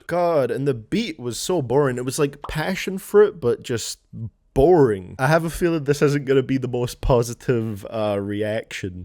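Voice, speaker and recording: Male music reviewer, mid-pitched and lively, casual, sardonic, blunt reactions. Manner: sardonic, blunt, mildly profane. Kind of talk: casual album reaction commentary.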